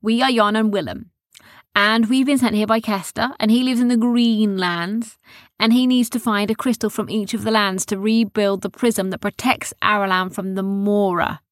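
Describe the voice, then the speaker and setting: A woman's voice: medium pitch, fairly even intonation, moderate pace, no room sound, studio quality, natural voice. A woman voicing an earnest, polite young boy on a quest in a storybook.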